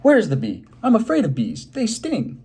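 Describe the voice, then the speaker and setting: A man's voice: high-pitched, huge pitch swings, normal speed, mellow, slightly rough, voice pushed up, exaggerated voice. A man voicing a naive, eager, childlike moose in a children's storybook, in short earnest exclamations. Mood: alarmed, excited.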